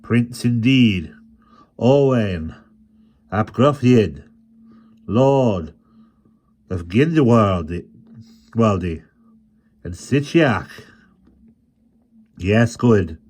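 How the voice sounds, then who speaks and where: A man voicing a frail, passionate old male bard with a soft, lisping, lilting Welsh accent. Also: sits low, animated, a little slow, slightly rough, full character voice. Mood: fervent. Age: older. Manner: grows fervent and sing-song.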